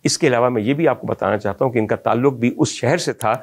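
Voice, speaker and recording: A man's voice: mid-range voice, fast talker. A man from Pakistan, telling the history of old film songs in an ornate, reminiscing manner and speaking directly to his audience. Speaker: film music historian host. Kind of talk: storytelling music history talk.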